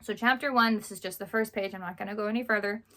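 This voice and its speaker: A woman's voice: medium pitch, fairly even intonation, fast, sharp tone. Young woman rambling about a book, kinda stuffy sounding, laughs a lot. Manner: chatty, rambling, laughs often. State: stuffy, under the weather. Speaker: book vlogger. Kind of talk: casual book review chat.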